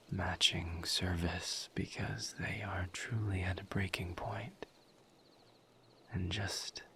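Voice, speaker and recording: Gentle misery demon for audio roleplay, played by a man: low, hushed breathy whisper, extremely slow and deliberate, solemn and patient. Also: a little hiss or hum, full character voice. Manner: slow, deliberate, halting with long pauses.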